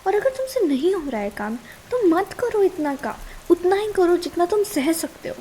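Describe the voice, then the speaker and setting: High-voiced Indian girlfriend, scolding but caring, acted by a woman. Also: very animated, normal speed, mellow, a little hiss or hum. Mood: worried, exasperated. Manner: pleading and chiding, switching between Hindi and English. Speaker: ASMR roleplay creator. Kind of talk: intimate ASMR girlfriend roleplay.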